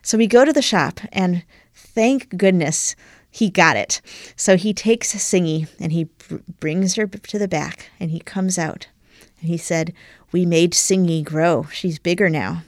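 A woman's voice: fairly low pitch, unhurried, dry recording, studio quality. Enthusiastic, talkative woman violinist. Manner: enthusiastic, talkative, frequent "you know" and "um". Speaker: professional violinist, interview guest. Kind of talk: relaxed interview answers.

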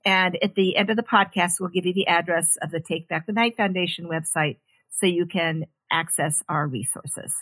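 Co-host, woman, husky and lowish, measured delivery. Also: steady tone, a bit bright. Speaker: podcast co-host. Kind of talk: reading a content warning.

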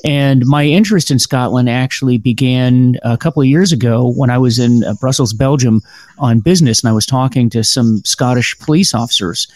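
An American man hosts a podcast interview. His pitch sits in the middle, and he talks in a loose, rambling way with frequent fillers and restarts. The sound is dry, with no room echo. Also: fairly quick, a little mellow. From United States. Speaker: podcast host. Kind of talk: casual interview.

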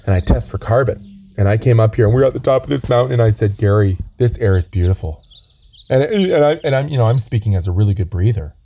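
A man, raspy from a chest cold, rambling earnestly and stammering through deadpan comedy chat outdoors on a lookout. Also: on the low side, fast talker, a little hiss or hum. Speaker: comedy co-host.

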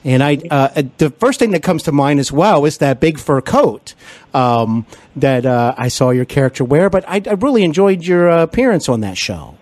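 A man hosting an interview podcast, enthusiastic and complimentary, often pausing with fillers. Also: a little high, animated, darkish tone, dry recording. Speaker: podcast host.

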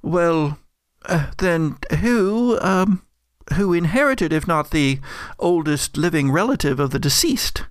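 A man's voice: a little high, expressive, slow pace, dry recording, clean studio sound, pitched above the performer's own voice. A man voicing a grasping, gushing older woman with an affected, endearment-laden way of talking in a tabletop game. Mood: disappointed, probing.

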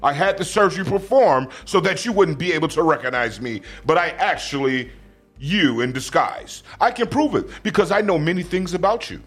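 A man's voice: on the high side, expressive, moderate pace, a bit bright, husky, faint music behind. A man reading a comedic script aloud as a boastful, scheming action-movie villain, declaiming melodramatically. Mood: smug, explaining. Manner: melodramatic, declaiming, explains his schemes at length.

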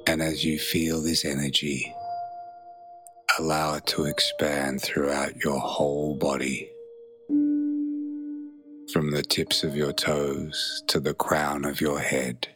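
A man narrating a guided meditation in a soothing, measured way, leaving long pauses between phrases. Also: rumbling low, flat delivery, music in the background.

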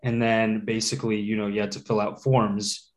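A man's voice: lowish voice, level tone, normal speed. A man from Latvia, a guest in a casual interview, talking thoughtfully with many fillers and restarts.

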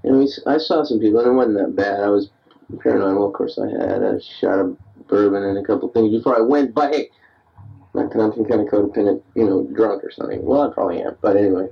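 Man, early 30s, tipsy late-night rambler, husky tone, muffled tape from off-mic. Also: fairly low pitch, animated, quick pace, distant mic. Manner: rambling, self-deprecating, restless. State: tipsy after beers. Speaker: diarist recording himself. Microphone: answering machine recorder.

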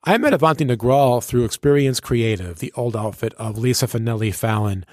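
A man's voice: mid-range voice, brisk, darkish tone. Effusive man hosting in a mid-range voice. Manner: effusive, emphatic, repeats "you know". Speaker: radio show host. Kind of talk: casual, conversational interview talk.

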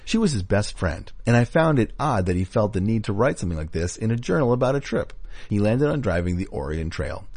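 Guy reading forum stories out loud, pitch moves around a lot, bit raspy, close dry sound. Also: big pitch swings, a little fast, no echo. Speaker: podcast host reading forum posts aloud. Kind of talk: scripted reading aloud of anecdotes.

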